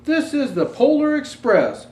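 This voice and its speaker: Train conductor, acted out by a male reader: storybook figure, theatrical, commanding, raised lively pitch, roomy sound. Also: measured pace, exaggerated voice. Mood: proclaiming. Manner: loud, proclaiming, theatrical. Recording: roomy echo, faint background noise.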